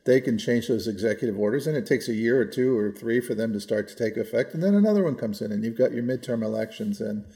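An American man in his sixties in a reflective, conversational policy discussion, measured and often qualifying his own points. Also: medium pitch, expressive, mellow. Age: 60s. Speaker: podcast co-host.

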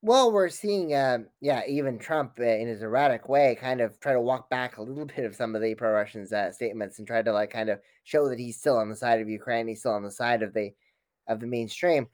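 Guy doing quick academic analysis in an interview, stumbles and restarts, really dry close sound. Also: mid-range voice, a little mellow, no echo. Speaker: academic expert guest. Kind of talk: fast analytical interview commentary.

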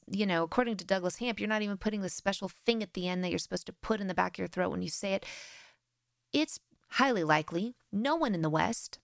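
An American woman hosting a faith podcast, talking directly and earnestly with lively emphasis. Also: medium pitch, vocal fry, no echo, clean studio sound. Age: adult with grown-past-baby children. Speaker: podcast host. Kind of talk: solo faith podcast talk.

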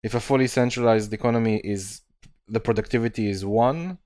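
A man's voice: fairly low pitch, brisk, a little mellow, dry recording. A man hosting a podcast conversation, hesitant with many fillers as he asks his guest questions. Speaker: podcast host. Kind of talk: casual interview conversation.

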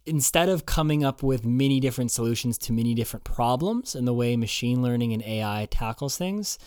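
A man's voice: mid-range voice, a little mellow, clean tone, no echo, studio quality. A man hosting a podcast, introducing his guest in a loose, casual way full of fillers. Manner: casual, hedging with many fillers. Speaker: podcast host.

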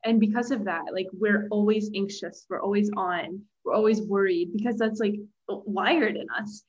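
A young woman guest on a podcast, speaking in a flat, thoughtful, casual way with lots of "like". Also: medium pitch, normal speed, breathy edge, highs cut off. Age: young adult. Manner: monotone, thoughtful, says "like" often. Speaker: guest, economics content creator. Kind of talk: casual interview chat.

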